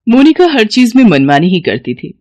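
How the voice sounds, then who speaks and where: Woman, story narrator, scripted moral tale, middle-pitched voice. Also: big pitch swings, normal speed, darkish tone, dry recording, lo-fi sound.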